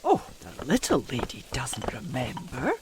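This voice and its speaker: Slow, swooping, fearful housekeeper. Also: female voice, very deep voice, huge pitch swings, background noise, full character voice. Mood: uneasy, confiding. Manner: hesitant, halting, old-fashioned servant's speech. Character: fearful, deferential housekeeper of a gothic country house. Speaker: actor in an audio play. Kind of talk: gothic period audio drama acting.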